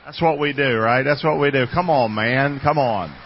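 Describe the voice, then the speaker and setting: A man's voice: medium pitch, normal speed, a little hiss or hum. A man in his fifties with a Southern American accent, preaching a casual, chatty sermon and talking directly to his congregation. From North Carolina, USA. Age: 50s. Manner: folksy, chatty, talks to the congregation. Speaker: pastor. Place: church sanctuary. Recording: dull audio with long reverb.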